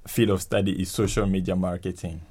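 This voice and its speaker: Very deep-voiced man from Ivory Coast. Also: level tone, brisk, a little mellow. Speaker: college student.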